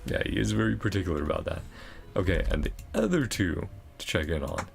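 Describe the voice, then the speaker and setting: A man's voice: deep voice, lively intonation, a little fast, gravelly. A man chatting casually on a gaming stream, in an off-the-cuff conversational way. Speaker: streamer reading game dialogue aloud.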